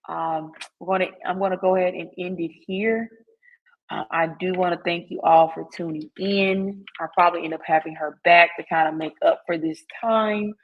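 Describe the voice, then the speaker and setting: A woman's voice: on the low side, highs cut off. A woman hosting a podcast, talking in a chatty, frank way with laughs and filler words. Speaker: podcast host. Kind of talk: casual podcast chat.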